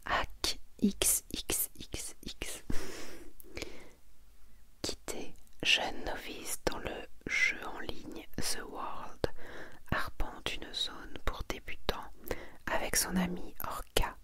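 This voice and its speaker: Female ASMR creator, whispered and breathy delivery, gentle and unhurried, for intimate read-aloud and chat. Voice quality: whispered, breathy, almost entirely unvoiced. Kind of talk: soft whispered ASMR, presenting and reading manga summaries.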